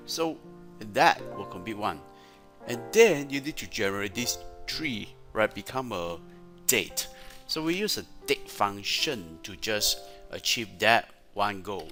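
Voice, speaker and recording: Male software trainer, Malaysian accent, lowish pitch, slow delivery, keeps checking in with "right?". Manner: frequent "right?" tag questions. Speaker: Excel trainer. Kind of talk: screen-share software tutorial with sales pitch. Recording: music playing behind the voice. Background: clear background music.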